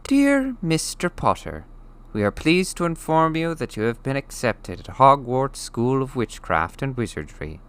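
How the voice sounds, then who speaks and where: A man reads as a formal storybook school official. The voice is raised in pitch and forceful, with measured, polite phrasing. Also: sing-song, smooth. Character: formal official letter writer, an older headmistress's words. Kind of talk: storybook letter read aloud.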